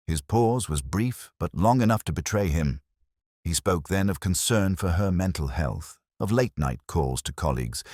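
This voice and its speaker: Very deep-voiced man narrating detective fiction. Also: darkish tone, slightly rough, no room sound, studio quality. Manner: measured, brooding, literary phrasing with deliberate pauses. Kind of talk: first-person detective story narration, scripted.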